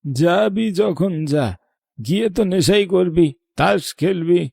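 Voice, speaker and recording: A man voicing a protective, weary old grandfather in a cartoon, sharp-tongued but affectionate, with a creaky aged voice. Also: sits high, animated, takes it slowly, no echo, clean studio sound, full character voice. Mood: stern. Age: older. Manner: scolding, then tender storytelling. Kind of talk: cartoon voice acting.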